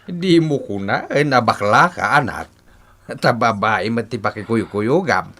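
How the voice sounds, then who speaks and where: A man's voice: medium pitch, lively intonation, a little slow, a bit bright. An actor playing a nosy, folksy middle-aged father in a Filipino radio drama, with an Ilocano accent. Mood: prodding. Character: nosy, prodding father pushing a young man to court. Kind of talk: Philippine radio drama acting.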